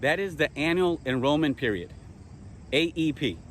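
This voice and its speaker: Man, informal trainer for agents, slightly high voice, repetitive and self-correcting, faint outdoor noise behind. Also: expressive, normal speed, a bit bright. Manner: repeats himself, self-corrects, rhetorical questions; drills terms with check-ins like okay. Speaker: insurance agent teaching other agents. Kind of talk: casual spoken lesson.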